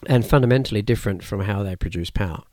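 A man whose first language is Cantonese, a martial arts teacher explaining his teaching in a thoughtful interview, often pausing and restarting. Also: lowish voice, dark tone, dry recording. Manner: measured, thoughtful, with frequent fillers and restarts. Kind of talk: conversational interview answers.

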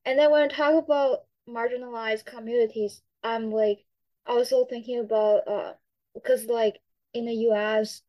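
A woman's voice: mid-range voice, highs cut off. A young woman hosting a podcast, asking questions in a hesitant way full of fillers. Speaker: podcast host. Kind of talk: podcast interview.

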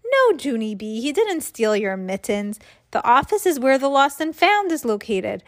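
A woman voicing a calm, kindly schoolteacher in a children's storybook, reassuring but firm. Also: high voice, moderate pace, dry recording, studio quality. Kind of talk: children's storybook read aloud.

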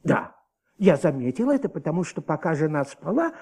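A man's voice: a little high, big pitch swings, normal speed, darkish tone, breathy edge, full character voice. A man playing an anxious, talkative, sleepless husband, a hesitant witness who repeats himself, in a Soviet radio drama. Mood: hesitant, earnest.

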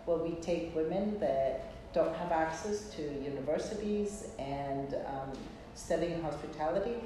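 A middle-aged woman being interviewed as a guest, explaining thoughtfully and haltingly in a conversational way. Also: low voice. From Greece. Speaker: interview guest, hospitality entrepreneur. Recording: echoey room, distant mic, faint noise.

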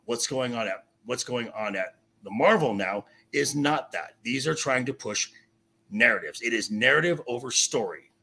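A man with a raspy voice in a heated pop culture discussion, insistent and halting, often repeating himself. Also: medium pitch, lively intonation, bright, forceful tone. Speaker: podcast guest. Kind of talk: heated opinionated film and TV discussion.

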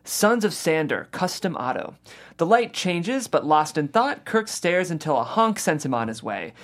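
A lively narrator reads scene directions from a comedy script. The voice has a medium pitch and moves around a lot in intonation. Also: moderate pace.

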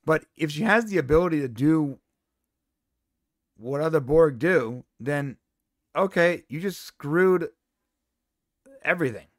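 A man co-hosting a podcast, giving an opinionated, animated review in casual chat. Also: a little high, unhurried, pauses often, no echo, studio quality. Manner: animated, opinionated, exasperated asides. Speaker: podcast co-host.

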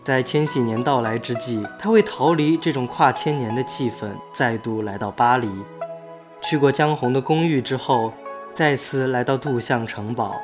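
A man's voice: mid-range voice, natural voice. A man reading scripted narration as the composed storyteller of a radio drama. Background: music.

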